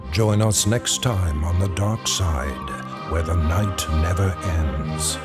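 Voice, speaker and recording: Unhurried low male radio announcer. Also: over loud music. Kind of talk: scripted radio announcing and credits.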